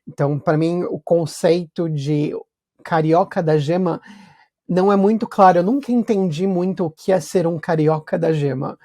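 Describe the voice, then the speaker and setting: A man's voice: a little high, normal speed, mellow. A man from São Paulo with a Paulista accent, hosting a podcast and asking curious questions while thinking aloud. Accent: Paulista Brazilian Portuguese. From São Paulo, Brazil. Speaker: podcast host. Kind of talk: casual conversational interview.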